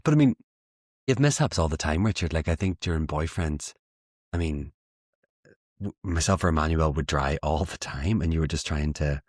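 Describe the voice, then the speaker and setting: Young irish guy, really low voice, animated storyteller, laughs a lot, dry close sound. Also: normal speed, no echo. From Ireland. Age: young adult. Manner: animated storyteller, laughs often, acts out quoted lines. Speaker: guest theatre maker. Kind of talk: casual interview anecdotes.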